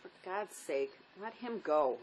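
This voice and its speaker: A woman's voice: on the low side, lively intonation, a little slow, a little mellow, rough recording. A woman acting a jaded, self-mocking runaway in a drama reading, sardonic and resigned. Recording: clear background noise, room ambience.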